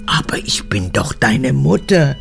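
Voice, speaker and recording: An actress in a radio drama playing a manipulative, childish old woman in her eighties, an unrepentant former camp guard, with a husky, gravelly voice. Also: extremely low pitch, big pitch swings, measured pace, soft background music, heavily acted. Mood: confused, needy. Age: 80s. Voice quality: husky, gravelly, often breaking into whispers. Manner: capricious, swinging between childlike pleading and cold recounting.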